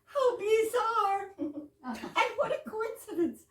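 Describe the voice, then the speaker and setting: A genteel, astonishable wife from an absurdist stage comedy, played by a woman, high-pitched and slow, with big swoops. She is theatrical and exclamatory, recorded in an echoey room. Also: very animated, heavily acted. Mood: bewildered delight. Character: genteel British wife, theatrically amazed at every coincidence. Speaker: amateur readers theater actor. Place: live stage reading in a room. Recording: echoey room, distant mic with noise.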